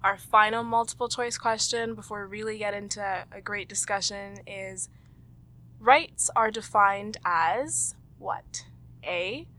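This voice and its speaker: A woman with a Canadian accent and a bright, forward voice at an average pitch. She speaks as a host, presenting to listeners and guiding a group. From Toronto, Canada. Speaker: host and quizmaster. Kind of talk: presenting a quiz and leading a group discussion.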